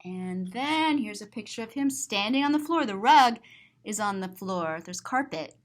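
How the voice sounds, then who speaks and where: A woman reading a children's story aloud and describing the pictures, expressive and explanatory. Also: mid-range voice, moderate pace.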